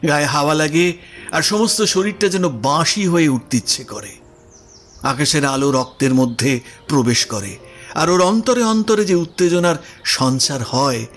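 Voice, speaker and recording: Higher-pitched male narrator of Bengali literary story.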